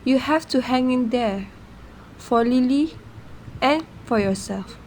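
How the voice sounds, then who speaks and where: Woman acting a high-voiced, supportive friend. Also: takes it slowly, darkish tone, a little hiss or hum. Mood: soothing. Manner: soothing, advising. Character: concerned, steadying best friend, a supportive young woman. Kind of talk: amateur radio drama acting.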